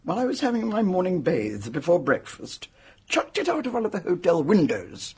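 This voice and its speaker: A performer playing a blunt, hearty spinster hotel guest in a radio mystery, forthright and emphatic with a husky voice. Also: male voice, sits high, wildly swooping pitch, normal speed, darkish tone, a little hiss or hum. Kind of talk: radio mystery drama acting.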